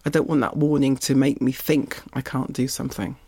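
A middle-aged woman from Barbados, raised in East London, speaks as a guest in an interview. She talks in a reflective, conversational way that turns lively when she recalls her childhood. The recording is dry and close, with no room sound. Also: very deep voice, a little fast, breathy edge, dry recording.

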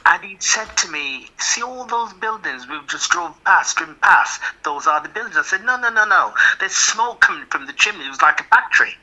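An older man from Jamaica, recalling his past in an interview with a hesitant manner, often repeating words and chuckling softly. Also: sits high, animated, normal speed, few pauses, poor audio. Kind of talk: reminiscing interview.

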